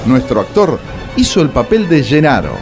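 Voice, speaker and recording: A man with a Rioplatense Argentine accent narrating a radio drama in a wry, theatrical way, with dramatic pauses. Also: mid-range voice, sing-song, over loud music.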